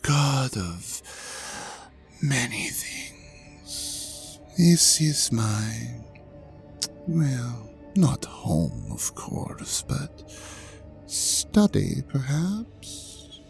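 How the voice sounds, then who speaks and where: A man playing an ancient, vain dragon god in an audio drama, pompous and mock-courteous, with a theatrical drawl that sinks into breathy murmurs. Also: mid-range voice, huge pitch swings, extremely slow, long pauses, faint music behind, full character voice. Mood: haughty, amused. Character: ancient vain male dragon god, suave, hypnotic, menacing host. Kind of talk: scripted audio drama monologue addressed to the listener.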